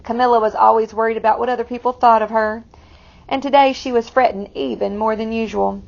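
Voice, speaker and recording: A woman reading a picture book aloud to children, expressively, pausing to explain it in simple asides. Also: fairly high pitch, gravelly, lo-fi sound. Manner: expressive, explains the story to young listeners. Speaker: read-aloud storyteller for children.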